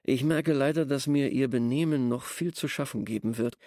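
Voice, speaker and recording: An actor playing a pompous, grudging village schoolteacher in a literary radio drama, speaking in a pedantic, bureaucratic way. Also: male voice, mid-range voice, normal speed, mellow, no room sound, clean studio sound, natural voice. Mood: indignant, grudging. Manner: pedantic, self-important, bureaucratic. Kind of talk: formal dramatized literary dialogue.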